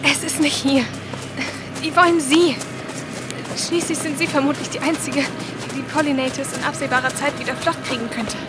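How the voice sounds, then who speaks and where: Actress for young female fugitive, audio drama: high voice, urgent, defiant, loud music underneath. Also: steady tone, moderate pace, close to an everyday voice. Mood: urgent, pleading. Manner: urgent, insistent. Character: pleading young German woman, defiant outsider on the run. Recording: loud music and effects behind.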